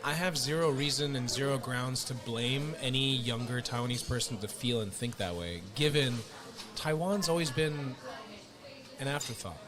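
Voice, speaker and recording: A man hosting a podcast, chatting casually and telling stories in an animated way, acting out what other people said to him. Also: medium pitch, normal speed, a crowd in the background. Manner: animated storytelling, acts out other people's lines. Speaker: podcast host. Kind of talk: casual chat.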